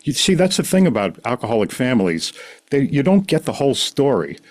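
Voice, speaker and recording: A man's voice: mid-range voice, very animated, fairly quick, darkish tone. A man, a podcast guest telling his story in rambling, self-interrupting casual talk. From New York City, the Bronx. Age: 60s. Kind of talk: casual conversation.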